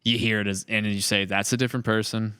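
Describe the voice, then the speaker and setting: Man, deep bright voice, reflective podcast host, halting phrasing, casual interview style. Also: little pitch movement, smooth, no echo, studio quality.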